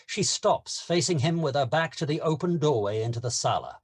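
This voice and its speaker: A man's voice: a little high, lively intonation, moderate pace, breathy edge. A man narrating stage directions in a scripted screenplay table read.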